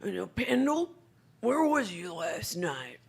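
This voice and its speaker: A woman playing an excitable, protective saloon keeper in a radio western, gravelly and husky, with a rural American accent. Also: mid-range voice, slow pace, exaggerated voice. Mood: insistent. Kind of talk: old-time radio western acting.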